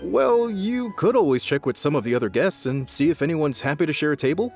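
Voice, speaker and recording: A man's voice: a little high, lively intonation, fairly quick, darkish tone, soft background music. A man voicing a polite, apologetic restaurant waiter.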